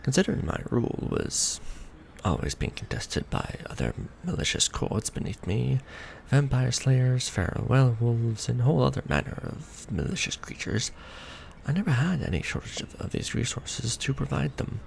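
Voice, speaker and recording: Man playing a gravelly, courtly vampire lord. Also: medium pitch, sing-song, a little hiss or hum. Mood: storytelling, grave. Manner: formal, archaic, theatrical, measured phrasing. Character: courtly centuries-old male vampire lord, proud, doting lover. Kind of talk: romantic ASMR roleplay, scripted monologue.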